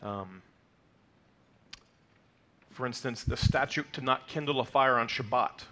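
A man's voice: mid-range voice, measured pace, bright, forceful tone. Man, thirties, congregation teacher, explanatory, fillers, "okay?" check-ins, sanctuary lectern. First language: not Hebrew. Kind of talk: Torah portion teaching. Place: sanctuary, mid-size indoor hall.

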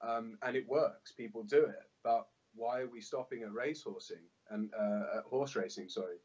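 A man's voice: mid-range voice, moderate pace, darkish tone, slightly rough. A man in his thirties giving earnest answers in a remote interview from a room indoors, often repeating words as he thinks. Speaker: interview guest. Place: indoor room with glass doors. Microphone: laptop webcam on video call. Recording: dull lo-fi video call audio.

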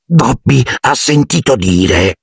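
A man voicing a small, anxious, devoted male house-elf for a storybook, squeaky and hoarse, servile and deferential. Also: lively intonation, slow pace, no echo, clean studio sound, heavily acted. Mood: grave, hushed. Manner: speaks of himself in third person, deferential.

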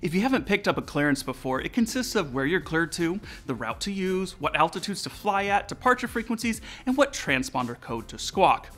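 Bright, animated American man presenting. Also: sits high, fairly quick, few pauses. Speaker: aviation channel host. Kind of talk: scripted explainer narration to viewers. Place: indoor studio room with aviation decor. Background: music.

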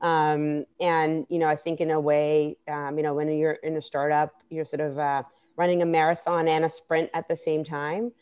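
A woman's voice: low voice, flat delivery, moderate pace, a little mellow, clean tone. A woman in her thirties from Israel answering conversationally as an interview guest on a video call, with frequent hesitant fillers. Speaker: interview guest, startup founder. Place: indoor room, video call. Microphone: earphone mic on video call.

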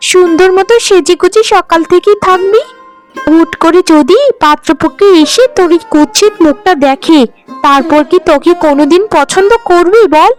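Spiteful, bossy cartoon sister-in-law played by a woman, high voice, scornful nagging, music underneath. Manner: nagging, sharp-tongued, scornful. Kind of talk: Bengali cartoon voice acting.

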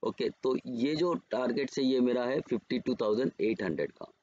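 A man with an Indian accent giving trading levels over charts as a market educator, unhurried and pausing often to correct himself. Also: mid-range voice, animated, darkish tone, highs cut off. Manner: unhurried, pauses and self-corrects while reading levels. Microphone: screen recording voice-over.

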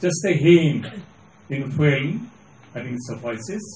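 A man's voice: medium pitch, expressive, slow, darkish tone. Echoey Assamese Indian man on a panel. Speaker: panelist, filmmaker. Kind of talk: answering audience questions at a panel. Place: auditorium. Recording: echoey, distant, faint background noise.

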